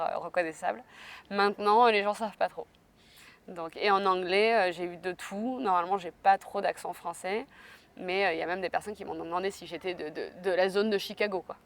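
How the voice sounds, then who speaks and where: A woman hosting an interview podcast, chatty and lively, speaking casually with her guest. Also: fairly low pitch, gravelly. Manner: lively and chatty, laughs easily. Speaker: podcast host.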